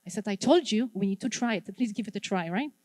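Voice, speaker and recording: A woman's voice: mid-range voice, lively intonation, quick pace, a little mellow. A middle-aged woman from Poland with a Polish accent, leading a wellness workshop and drawing answers from the audience. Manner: engaging, asks the audience questions and repeats their answers. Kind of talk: interactive workshop talk to a live audience.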